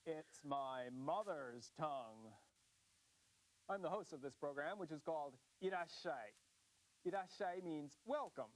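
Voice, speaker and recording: A man's voice: a little high, animated. Upbeat American man presenting a course. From United States. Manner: upbeat, slow deliberate enunciation. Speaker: host of a language course. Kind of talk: scripted educational presenting.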